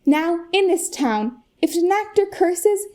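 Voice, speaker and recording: A young American woman playing an earnest, polished corporate saleswoman giving an emphatic keynote pitch in a comic radio play. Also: expressive, slow, dark tone, exaggerated voice. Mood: persuasive. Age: young adult. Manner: presentational, emphatic.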